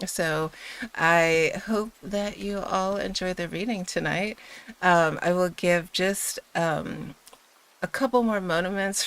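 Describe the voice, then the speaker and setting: A woman hosts a laid-back livestream and chats before a bedtime reading, still drowsy from sleep. Her voice sits low and carries a gravelly fry, and she giggles and rambles with plenty of fillers. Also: fairly bright tone. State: sleepy, just woken. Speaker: livestream host.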